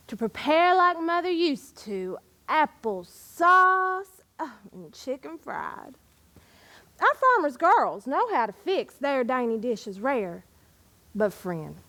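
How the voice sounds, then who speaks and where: Casting: actress as proud, nostalgic Appalachian woman poet of a bygone era; high voice, very unhurried, theatrical stage storytelling. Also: exaggerated voice. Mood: wistful, savoring. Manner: dramatic, deliberate, expressive delivery with long pauses. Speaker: historical reenactor reciting poetry. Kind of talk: theatrical first-person living-history monologue with verse recitation.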